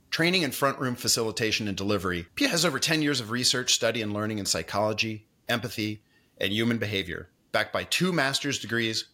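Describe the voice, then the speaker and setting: A male podcast host with a bright, forceful voice. He reads a guest intro and then slips into friendly, conversational questions. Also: lowish voice. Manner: friendly, conversational with "you know". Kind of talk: interview hosting, scripted intro.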